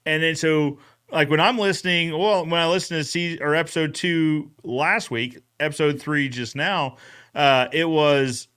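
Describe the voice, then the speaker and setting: Guy podcast cohost, bit higher voice, casual recap chat, quotes people as he tells it. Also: measured pace, pushed and bright, smooth. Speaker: podcast co-host.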